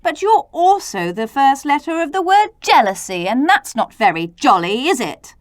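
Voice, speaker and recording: Smug, waddling storybook letter acted by a woman: loud, scolding, with lively intonation. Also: sits high, moderate pace, dry recording, clean studio sound, pitched above the performer's own voice. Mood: chiding.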